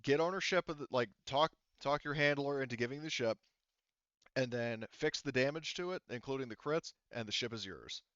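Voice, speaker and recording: A man working as game master, explaining tabletop rules casually in a wordy, halting way that often doubles back on itself. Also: mid-range voice, a bit bright, smooth, no room sound, lo-fi sound. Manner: halting, self-interrupting, wordy.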